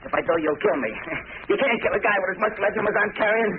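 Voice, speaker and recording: A man's voice: sits high, lively intonation, a little fast. An actor playing a tough, bitter gangster henchman in an old radio crime drama, with slangy talk. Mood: pained, grim. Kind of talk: 1940s radio gangster acting. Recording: noisy, tinny old radio recording.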